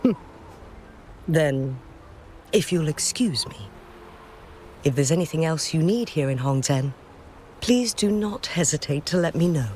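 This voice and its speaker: A polite attendant in a video game. His voice is a little high for a man, and he takes his time with formal, deferential phrasing. Faint ambience lies behind him. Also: expressive, unhurried, pauses often, darkish tone, a little hiss or hum.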